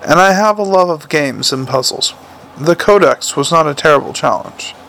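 A man reading aloud, voicing a clever, cultured male troll king who speaks quietly with a teacherly firmness. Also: on the high side, animated, gravelly, slight background noise, low-quality recording. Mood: calm, reasoning. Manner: measured, softly commanding, teacherly.

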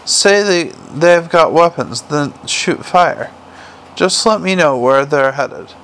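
Male reader as world-weary cop boss: clipped, dry, gravelly. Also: a little high, takes it slowly, a bit bright, slight background noise, rough recording. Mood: brusque. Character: weary, blunt male city watch commander.

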